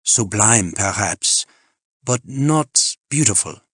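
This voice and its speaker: A man's voice: lowish voice, expressive, slow pace, a bit bright, slightly rough, dry recording, clean studio sound, heavily acted. Man playing a cool, formal climber. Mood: measured, evaluative. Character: cool, appraising French male climber, formal and exacting.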